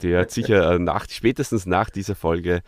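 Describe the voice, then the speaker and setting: Podcast host, male, Austrian accent, informal review talk, lower register, very dry recording. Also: moderate pace, dry recording. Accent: Austrian German. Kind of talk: casual review chat.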